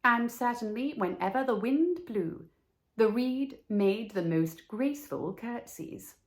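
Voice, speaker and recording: Slow, measured female storyteller. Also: on the high side, lively intonation. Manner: measured, deliberate phrasing. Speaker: storybook reader. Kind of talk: scripted children's story narration.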